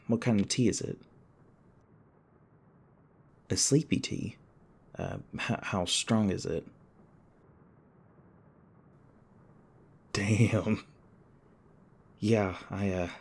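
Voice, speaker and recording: Man acting a shaken, gravelly-voiced young guy. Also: sits low, a little mellow, heavily acted. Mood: hesitant, curious. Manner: hesitant, halting, stammering. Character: shaken, apologetic young human man rescued by a vampire. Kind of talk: ASMR audio roleplay, naturalistic acting.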